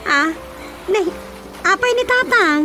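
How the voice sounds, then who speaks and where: A woman's voice: very high voice, expressive, slow, pushed and bright, faint music behind, full character voice, low-quality recording. A woman playing the grown daughter of an elderly couple in a radio drama, with exclamatory delivery. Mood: surprised, concerned. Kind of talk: comic radio drama acting in Ilocano.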